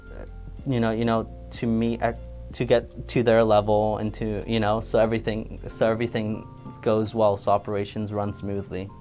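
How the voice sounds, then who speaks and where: Flat-toned young man, rambling casually. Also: lowish voice. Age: young adult. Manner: rambling, repetitive phrasing. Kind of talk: casual interview answer.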